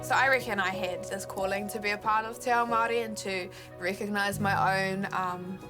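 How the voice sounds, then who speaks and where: A woman's voice: medium pitch, steady tone, a little fast. A young woman in her twenties with a New Zealand accent, telling her own story in a candid, conversational, reflective way. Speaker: documentary subject, poet and musician. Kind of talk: reflective personal interview narration. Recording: loud music under the voice. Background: loud music bed.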